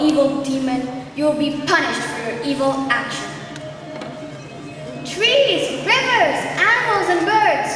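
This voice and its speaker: High-voiced female playing a noble young royal. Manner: emphatic, earnest declaiming. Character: noble young royal of Indian epic, defiant, anguished. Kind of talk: declaimed school stage play. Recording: echoey noisy gym, far from mic.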